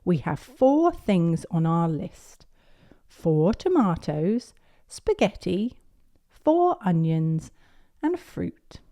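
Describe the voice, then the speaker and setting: A woman voicing a patient, kindly mother pig from a children's storybook, gently explaining and asking. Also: on the low side, big pitch swings, very slow, full character voice.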